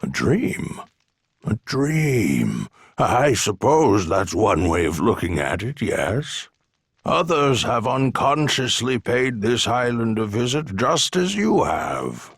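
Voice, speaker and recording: An actor playing a gentle old amnesiac island hermit in a video game, with a husky, gravelly voice and a courteous, wistful manner. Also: male voice, mid-range voice, huge pitch swings, takes it slowly, no room sound, clean studio sound, heavily acted. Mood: musing. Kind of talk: video game cutscene acting.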